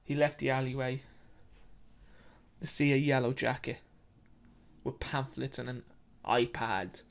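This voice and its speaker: A man telling a dramatic short story, in halting, repetitive short phrases. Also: mid-range voice, steady tone, creaky.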